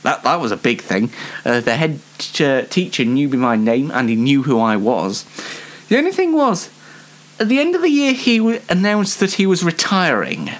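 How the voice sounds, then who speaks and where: A young man with a British accent talks casually, as if hosting his own little show. His intonation is very lively, rising and dipping often. He rambles through anecdotes in a chatty, self-deprecating manner. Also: fairly high pitch, moderate pace. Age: young adult. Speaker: host of a personal show.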